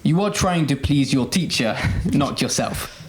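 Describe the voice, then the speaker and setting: A man's voice: fairly high pitch, expressive, unhurried. Earnest young man giving advice. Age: teens or young adult. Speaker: student podcast contributor giving advice. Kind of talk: conversational advice segment on a student podcast.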